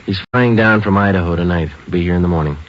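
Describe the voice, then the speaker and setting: An American actor playing a calm, relentless police detective in a 1950s-style radio crime drama, deadpan, clipped and politely firm. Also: male voice, low voice, fast, natural voice. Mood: firm, unruffled. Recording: dull lo-fi old-time radio recording.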